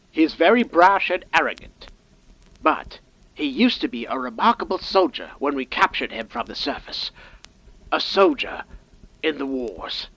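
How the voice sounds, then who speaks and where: Guy doing an ambitious cold scientist voice log, kinda higher male voice, pompous and real slow. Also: fairly bright tone, no room sound, exaggerated voice, rough recording. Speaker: doctor. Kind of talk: audio diary monologue, game-style acting.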